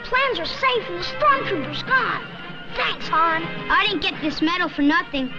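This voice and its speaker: A woman playing an excited child acting out a toy adventure in a vintage TV toy commercial. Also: extremely high pitch, moderate pace. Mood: excited, playful. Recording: muffled old TV commercial with music.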